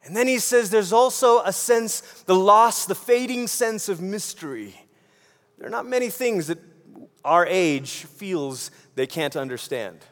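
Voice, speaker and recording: A man's voice: high-pitched, lively intonation, unhurried, a bit bright. A man from Malaysia preaching a sermon in a conversational way, posing rhetorical questions and repeating phrases for emphasis. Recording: long hall reverb.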